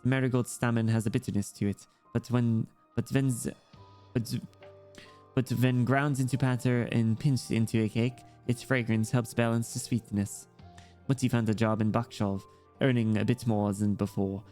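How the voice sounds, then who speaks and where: A man's voice: medium pitch, steady tone, moderate pace, dark tone, natural voice. A man reading the game lines of an earnest, proud ten-year-old girl in a plain storytelling way. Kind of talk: reading visual-novel dialogue aloud. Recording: music playing behind the voice.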